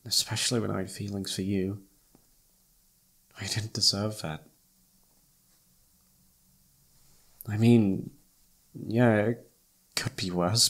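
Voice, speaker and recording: Guy doing a shy lovesick best friend for asmr roleplay, soft n breathy, unhurried, unsure of himself, kinda tender. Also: on the low side, exaggerated voice. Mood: defensive, dejected. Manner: hesitant, halting, tender. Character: lovestruck, self-doubting young male best friend confessing feelings. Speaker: amateur voice actor. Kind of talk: intimate ASMR roleplay acting.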